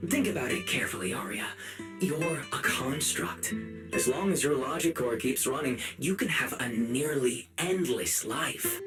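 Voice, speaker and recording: A man's voice: mid-range voice, expressive, a little slow, music in the background, natural voice. A man playing a sinister, taunting video game sprite who poses as a caring advisor, theatrical and falsely sympathetic. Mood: persuasive. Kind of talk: dramatic video game voice acting.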